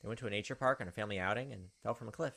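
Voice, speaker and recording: A man's voice: fairly low pitch, rapid-fire, vocal fry, fairly bright tone. A young man hosting a gaming video, reading a visual novel aloud with casual asides.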